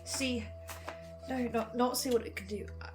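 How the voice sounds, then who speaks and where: A woman playing a tormented, hungry archivist in a halting, theatrical horror monologue addressed straight to the listener. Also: on the high side, music in the background, close to an everyday voice. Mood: hushed, tempted. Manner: theatrical, halting, swinging from whispers to outbursts. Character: tormented, obsessive, hungry archivist confessing to the audience. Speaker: host of a horror listen-along series. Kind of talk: dramatic in-character monologue to the listener.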